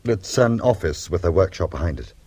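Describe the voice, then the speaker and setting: A man acting in a radio drama as a gentlemanly criminal with a dry, understated, wry manner. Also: sits low, lively intonation, a little fast, slightly rough. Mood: dry, sly.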